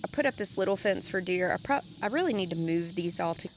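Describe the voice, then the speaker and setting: A woman vlogging a casual walk-through of her home garden, speaking in a chatty, relaxed way with a hoarse, congested voice. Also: fairly low pitch, fast talker, slight background noise. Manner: chatty, relaxed, thinking aloud.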